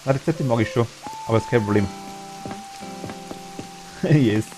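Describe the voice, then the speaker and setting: A man's voice: mid-range voice, a little slow, music in the background, low-quality recording. A man with a Bavarian accent chatting casually and enthusiastically while streaming a game, laughing easily.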